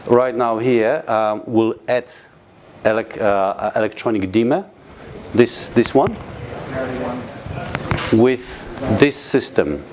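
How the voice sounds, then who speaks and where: A man's voice: mid-range voice, slow, pauses often, noisy background. A man with a Polish accent giving a live product presentation to a training audience, hesitant with many fillers and restarts. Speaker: company presenter at a training session.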